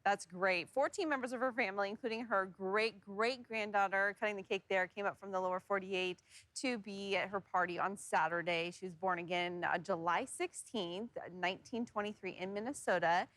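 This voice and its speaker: A woman's voice: medium pitch, fairly even intonation, normal speed, fairly bright tone. A woman news anchor with a mid-range voice talks in a conversational studio style. The sound is very dry, with no room echo.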